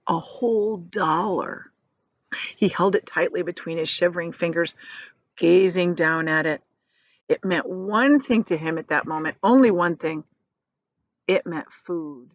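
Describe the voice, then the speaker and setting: A woman's voice: lowish voice, measured pace, pauses often, no echo, dull audio. Woman reading a storybook, dry sound. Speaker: teacher reading aloud. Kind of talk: expressive children's storybook narration.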